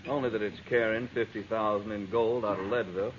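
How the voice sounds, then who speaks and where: A man's voice: on the low side, fairly even intonation, brisk, natural voice. A man playing an idle, needling saloon regular in a 1950s radio western. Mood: dry, needling. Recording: dull old radio, faint noise.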